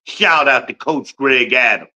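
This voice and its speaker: A man's voice: mid-range voice, a little slow, pushed and bright. A man in his fifties from Newark, New Jersey, hosting a podcast livestream with a boastful, blunt, profane rant. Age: 50s. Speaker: podcast host.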